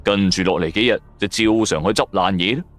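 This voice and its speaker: A man giving suspenseful, expressive narration of a scripted horror drama. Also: fairly low pitch, fairly quick, soft background music. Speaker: storyteller narrator.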